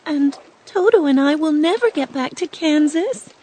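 A woman voicing a young girl in a storybook reading, pitched high and girlish. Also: lively intonation, normal speed, mellow, poor audio. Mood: despairing. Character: young girl, hopeful and tearful.

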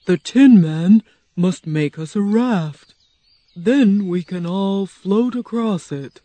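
Soft kindly scarecrow, a woman putting him on, storybook, slow, dry sound. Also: mid-range voice, dry recording. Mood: cheerful. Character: kind, gentle male scarecrow. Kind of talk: storybook reading.

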